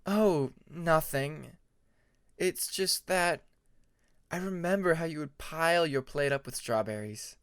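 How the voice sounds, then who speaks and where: A man voicing a gentle, courteous older female dragon diplomat in an audiobook. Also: a little high, takes it slowly, clean tone, no echo, studio quality. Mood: concerned, wistful.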